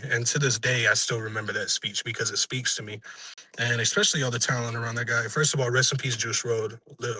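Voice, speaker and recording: A young man with a raspy voice, a musician being interviewed, chatting casually and earnestly in slang and profanity, often backtracking. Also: medium pitch, sharp tone, no echo, poor audio. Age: young adult.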